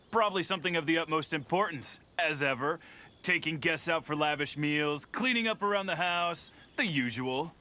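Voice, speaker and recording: A sharp, fairly high-voiced guard in a video game. He is snide and mocking, with faint noise behind him. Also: expressive, moderate pace. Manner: sarcastic, dry mockery.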